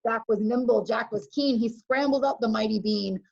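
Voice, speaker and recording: A woman's voice: a little high, normal speed, gravelly. A woman reading a rhyming bedtime story expressively, as a storyteller and co-host. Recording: dull lo-fi call audio.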